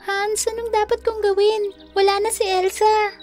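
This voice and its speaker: Very high-pitched earnest young princess voiced by a woman. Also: little pitch movement, normal speed, darkish tone. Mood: worried, determined. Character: earnest, determined young princess in fairy tale cartoon. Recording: music under the voice.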